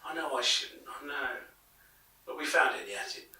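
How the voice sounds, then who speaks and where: A man's voice: mid-range voice, moderate pace, pushed and bright. A British man playing a matey but defensive pub landlord, informal and guarded, in an audio drama. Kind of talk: audio drama interview acting. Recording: very echoey room, distant mic.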